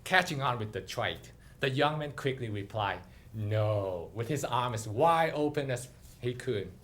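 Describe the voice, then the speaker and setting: A man giving a dramatic storytelling speech, with theatrical, deliberate phrasing. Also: medium pitch, expressive, a bit bright. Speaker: club speaker telling a story.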